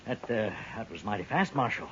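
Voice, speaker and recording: An American actor playing an evasive Old West schemer in a radio drama, guarded and self-justifying. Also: male voice, fairly low pitch, lively intonation, brisk, a bit bright. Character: smooth-talking, evasive male Western schemer. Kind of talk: 1950s radio western acting. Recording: muffled, hissy 1950s radio recording.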